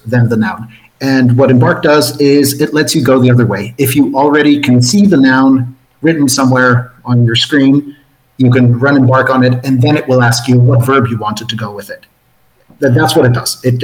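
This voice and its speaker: A man's voice: medium pitch, rough recording. Man from Mexico, developer guest, methodical explainer over a call mic. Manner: patient, methodical, with frequent restarts. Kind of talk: casual technical explanation.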